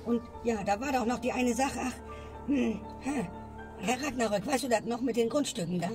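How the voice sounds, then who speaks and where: A woman's voice: fairly high pitch, normal speed. A woman ranting in a comedy sketch and ending her lines with a questioning tag. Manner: grumbling, tags lines with "ne?". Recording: music bed behind voice. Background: music.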